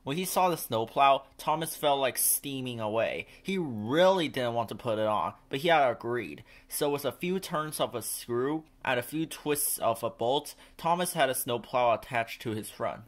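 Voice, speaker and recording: A man's voice: medium pitch, lively intonation, moderate pace, hardly any pauses. A man narrating a children's storybook as a read-aloud.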